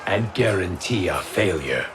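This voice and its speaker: Game conspirator, wry, brisk, mid-pitched, animated, loud score behind. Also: male voice, music in the background. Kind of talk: video game cutscene acting.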